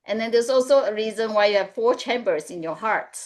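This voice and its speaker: A woman's voice: a little high, a little fast. Woman. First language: Mandarin. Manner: earnest, advice-giving, often adds "right?". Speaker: panelist, executive coach. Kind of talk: webinar panel discussion.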